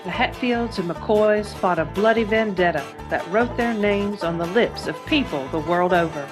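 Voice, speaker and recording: A woman playing a grave chronicler in a radio drama, deliberate and grim, with flute music behind her. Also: mid-range voice, natural voice. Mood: grave, dramatic. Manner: deliberate, grim, literary delivery. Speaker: narrator.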